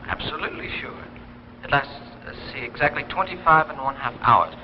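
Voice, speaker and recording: An actor playing an excited research colleague telephoning in a 1950s radio drama, speaking in hesitant bursts. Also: male voice, medium pitch, fairly quick, close to an everyday voice. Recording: reverberant, dull, noisy old radio recording.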